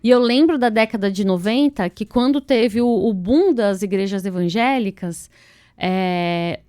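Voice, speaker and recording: A woman's voice: mid-range voice, measured pace, mellow, smooth. A woman hosts a videocast interview, speaking in a chatty, searching way with many fillers. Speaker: interview host.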